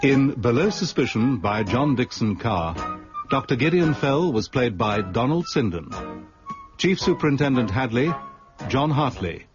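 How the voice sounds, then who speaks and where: Male announcer, formal credits reading, slow pace, music behind, slightly dull sound. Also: medium pitch, big pitch swings. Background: clear music.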